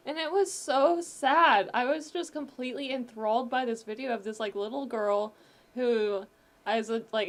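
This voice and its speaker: Earnest woman telling a story. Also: on the high side. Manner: earnest storytelling, hedging fillers. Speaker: podcast guest. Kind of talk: casual chat.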